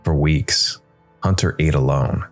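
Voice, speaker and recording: Deep guy reading a dark fantasy tale, measured w/ dramatic pauses, faint music behind. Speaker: narrator. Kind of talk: scripted dark fantasy story narration. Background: background music.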